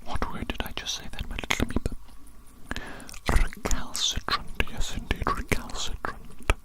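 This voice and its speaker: An ASMR creator whispering through a roleplay hearing test, slow and repetitive, heaping praise on the listener. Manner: doting praise, calls the listener little amoeba. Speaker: ASMR creator playing an examiner.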